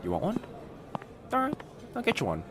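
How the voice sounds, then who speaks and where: Man acting as a lively-pitched friendly young fox student. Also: background noise. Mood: playful, welcoming. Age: young adult.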